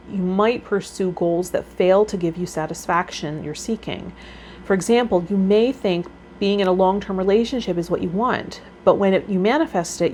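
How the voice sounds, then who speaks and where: A woman's voice: mid-range voice, a little mellow. Woman reading tarot meanings, noisy recording. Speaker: tarot vlogger and healer hosting a series. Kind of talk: reading tarot meanings aloud with casual commentary. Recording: noticeable background noise.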